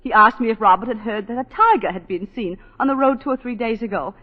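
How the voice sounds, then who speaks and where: An actress playing a self-possessed, indignant planter's wife in a 1940s radio drama, telling her story in a halting, theatrical, emotive way. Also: a little high, expressive. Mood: composed, hesitant. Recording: dull 1940s radio broadcast, long reverb.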